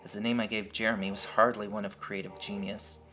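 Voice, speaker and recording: A man's voice: fairly low pitch, little pitch movement, a little fast. A man reading a scripted first-person horror story as its storyteller. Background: music.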